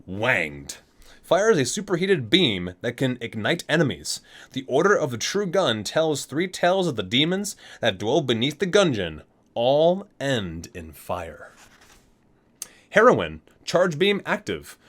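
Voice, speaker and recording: A man reads aloud for ASMR in a medium-pitched voice with very lively intonation. He slips into casual asides and often trips on a word, then corrects himself. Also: unhurried. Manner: stumbles over words and corrects himself mid-reading. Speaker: ASMR video creator reading a game guidebook.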